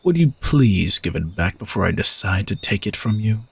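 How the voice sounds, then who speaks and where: Man acting low, gravelly arrogant knight. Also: sing-song, moderate pace, heavily acted. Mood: teasing, smug. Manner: teasing, dry, exasperated sighs, condescending. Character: arrogant sardonic male knight turned reluctant royal bodyguard. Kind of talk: romantic audio roleplay addressed to the listener.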